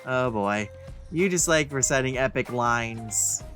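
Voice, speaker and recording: A man's voice: medium pitch, slow, music in the background. A man giving casual reactions as a let's play commentator over a game.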